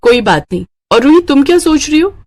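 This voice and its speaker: A woman voicing a kind, dutiful young doctor in a cartoon story, speaking earnestly and gently. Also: sits high, very animated, brisk, pitched above the performer's own voice. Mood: caring, reassuring. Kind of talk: Hindi cartoon moral story acting.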